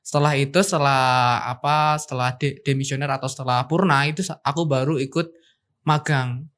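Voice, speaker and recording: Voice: medium pitch, steady tone, a little fast, fairly bright tone. A student in their twenties from Java, chatting casually on a student podcast and thinking aloud with fillers. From Central Java, Indonesia. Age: 20s. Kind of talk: casual chat.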